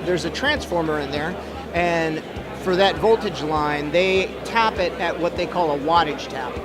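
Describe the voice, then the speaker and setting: A man's voice: a little high, measured pace, crowd noise. A middle-aged man giving a casual, step-by-step technical explanation as an expert guest in an interview. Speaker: expert guest, audio trainer. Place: busy trade show floor. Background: music.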